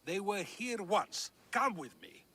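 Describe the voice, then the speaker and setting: Video game Greek philosopher: high, lively intonation, eloquent, wry and unflappable. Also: male voice, slow, a little hiss or hum, exaggerated voice. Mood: solemn, consoling. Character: witty, philosophical ancient Greek sage, warm and unflappable. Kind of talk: dramatic video game cutscene acting.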